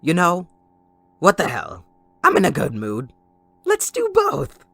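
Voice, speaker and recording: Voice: mid-range voice, huge pitch swings, takes it slowly, a bit bright, no room sound, full character voice. A performer voicing a gleeful, sadistic supervillain kidnapper in an audio roleplay, theatrical, mocking and possessive toward a captive listener. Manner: theatrical, mocking, giggling, swooping between croon and shriek.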